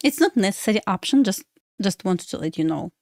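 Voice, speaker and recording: A woman's voice: medium pitch, animated, brisk, darkish tone, dry recording, clean studio sound. Chatty Belarus-born woman trading live. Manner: chatty, addresses viewers as guys, frequent uh fillers, non-native phrasing. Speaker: livestream host and day trader. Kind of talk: casual livestream chat with viewers.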